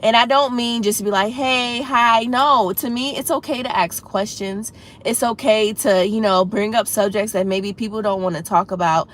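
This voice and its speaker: A woman's voice: fairly high pitch, moderate pace. A woman hosting a casual livestream, a bit congested and froggy from a head cold, talking earnestly and encouragingly to her chat. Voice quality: slightly froggy voice. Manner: earnest, encouraging, talks straight to chat with many "you know"s. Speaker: livestream host.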